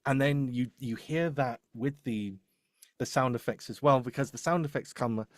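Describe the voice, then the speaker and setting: A man's voice: mid-range voice, normal speed, no echo. A man giving casual professional advice as a demo producer in a live discussion, often correcting himself and repeating phrases. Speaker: voice-over demo producer and host.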